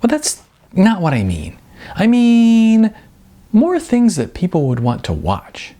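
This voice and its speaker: A bubbly young woman, an aspiring actress in an audiobook story, acted out by the narrator. She is blunt but supportive, with swooping, animated delivery and a slightly rough edge. She teases freely. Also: medium pitch, slow pace, voice pushed up, heavily acted. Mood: earnest, exasperated. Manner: animated, casual, hedging in bursts.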